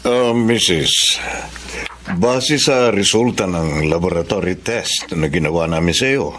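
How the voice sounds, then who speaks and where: An actor playing a calm, matter-of-fact doctor with a measured, formal manner in a Filipino radio drama. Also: male voice, low voice, slight background noise. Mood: calm, clinical.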